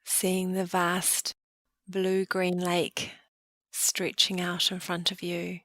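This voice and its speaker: A woman leading a guided meditation in a calm, gentle way, with soft trailing phrases. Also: lowish voice, takes it slowly, no room sound. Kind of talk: guided meditation, slow soothing narration.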